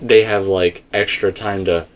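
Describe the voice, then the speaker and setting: A man's voice: lowish voice, steady tone, a little slow. A man in a casual, rambling fan discussion, repeating himself for emphasis as he co-hosts. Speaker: co-host discussing video game theories.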